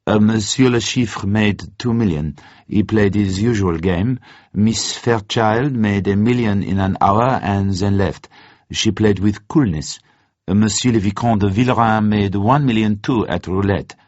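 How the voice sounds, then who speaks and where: A man's voice: on the low side, unhurried, a little mellow, no room sound, natural voice. A man voicing a formal casino official who recites gambling winnings in a novel reading, clipped and a little hesitant. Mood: businesslike. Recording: dull, muffled, dry close recording.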